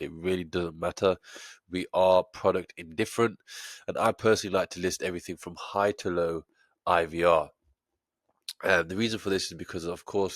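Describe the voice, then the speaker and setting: A man with a cold and a stuffy nose talks through a trading tutorial in a low voice. He explains methodically, with the odd filler between steps. The sound is dry and close, with no room around it. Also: dry recording. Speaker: trading educator. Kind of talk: screen-share tutorial.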